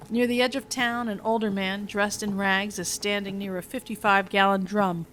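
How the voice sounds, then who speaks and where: A woman's voice: medium pitch, little pitch movement, normal speed, a bit bright, rough recording. A woman reading a scripted story aloud as its narrator. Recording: faint background noise.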